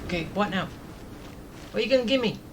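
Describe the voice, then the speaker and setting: A woman's voice: medium pitch, lively intonation, fast talker, noisy background. A woman streamer giving casual, reactive commentary as she plays a game. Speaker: gaming streamer.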